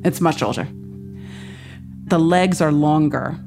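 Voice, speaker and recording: A woman's voice: deep voice, slow pace, dark tone, faint music behind. A woman with an American accent explaining things as an academic guest in an interview, emphatic and articulate.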